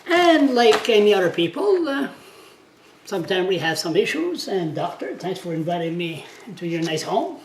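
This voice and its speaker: A man's voice: high voice, sing-song, moderate pace, echoey room, a little hiss or hum, low-quality recording. A man with a French Canadian accent whose first language is French, hosting an interview in a chatty, informal way. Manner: chatty, informal, plain phrasing. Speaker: blogger host.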